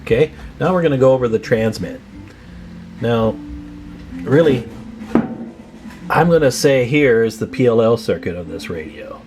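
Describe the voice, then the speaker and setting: A man's voice: mid-range voice, expressive, normal speed, long pauses, over music. A man presenting a hands-on repair tutorial in a casual, plain-spoken, advising way.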